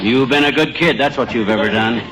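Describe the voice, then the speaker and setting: A man's voice: mid-range voice, brisk, heavy background noise, natural voice. An American man acting a pushy, boastful, devoted middle-aged husband in an old-time radio comedy, with a folksy, slangy, blustery manner. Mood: proud, eager.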